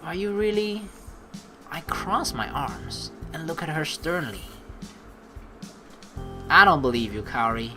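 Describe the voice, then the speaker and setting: A woman's voice: low-pitched, lively intonation, takes it slowly, pauses often, over music. A woman hosting a let's play in a chatty, rambling, earnest way, mixing casual commentary with reading game text aloud.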